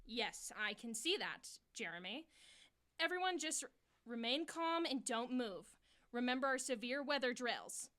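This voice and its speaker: An actress playing a brisk, bossy schoolteacher who is flustered and trying to sound calm, in an audio drama. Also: female voice, fairly high pitch. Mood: flustered, forced calm. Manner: brisk, bossy, falsely reassuring. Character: flustered schoolteacher forcing calm on her class.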